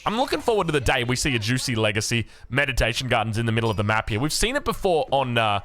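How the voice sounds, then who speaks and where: Excitable Australian game caster, slightly hoarse. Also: male voice, quick pace. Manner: excitable, energetic, big pitch swings. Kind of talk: video game commentary.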